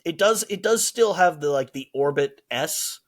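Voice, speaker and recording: A man's voice: on the high side, expressive, moderate pace. A man co-hosting a casual podcast, chatting with nostalgic enthusiasm and restarting his sentences often. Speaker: podcast co-host. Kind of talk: casual chat.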